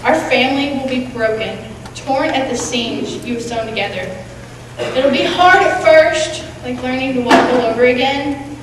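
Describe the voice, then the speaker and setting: A woman's voice: high-pitched, moderate pace. A young woman reciting a readers theatre monologue as a student performer. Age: teenager or young adult. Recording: echoey hall from far off, noisy.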